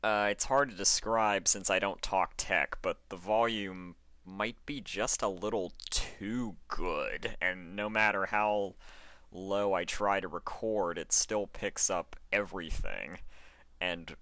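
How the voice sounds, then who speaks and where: A man's voice: deep voice, takes it slowly, sharp tone, no echo. A man with a sore throat chatting casually to listeners as host, in a hesitant, apologetic way. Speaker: fan fiction reader, show host.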